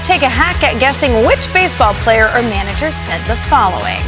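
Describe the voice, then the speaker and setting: Lively high-voiced promo woman. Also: brisk, music in the background, poor audio. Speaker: promo announcer. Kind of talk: scripted radio promo narration.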